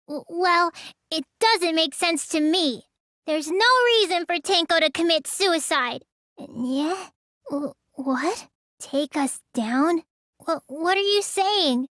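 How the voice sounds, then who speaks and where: A woman's voice: high-pitched, a bit bright, no echo, clean studio sound, exaggerated voice. A woman voicing a sulky, lazy teenage girl in a video game, with a pouty drawl that stammers when flustered. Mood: upset, pleading, then sad, hurt. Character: sulky, lazy teenage girl who claims real magic. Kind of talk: English video game voice acting.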